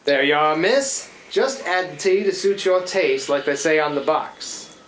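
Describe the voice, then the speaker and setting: A woman's voice: low-pitched, expressive, measured pace. A woman playing a scruffy, easygoing male riverboat skipper in a live radio play, with folksy working-class speech, chatty and grumbling but deferential. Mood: hospitable, easygoing. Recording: echoey live stage, noticeable room noise.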